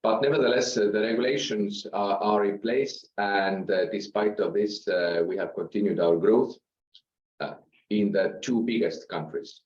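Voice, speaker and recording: Male executive, non-native English, low pitch, measured investor presentation, echoey room sound. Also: a little mellow. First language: not English. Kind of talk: business presentation on an earnings call. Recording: roomy, slightly dull conference-call sound.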